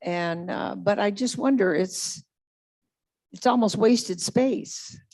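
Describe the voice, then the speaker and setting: A woman's voice: medium pitch, takes it slowly, a little mellow. A woman resident asking a question at a community meeting, chatty and wandering, correcting herself as she goes.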